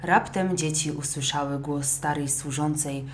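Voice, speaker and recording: Low-voiced woman narrating a children's story. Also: fairly even intonation, unhurried, slight background noise. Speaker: audiobook narrator.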